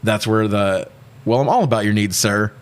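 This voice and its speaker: A man's voice: lowish voice, normal speed, clear, resonant tone. A man co-hosting a podcast, casually recapping a movie in an irreverent, joking, profane way. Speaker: podcast co-host.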